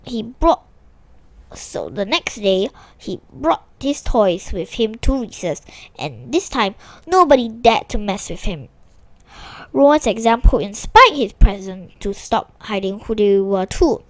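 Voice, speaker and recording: A woman reading a children's story aloud with animated expression, now and then stumbling over a word. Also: mid-range voice, measured pace, dry recording. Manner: animated, with small stumbles and restarts while reading.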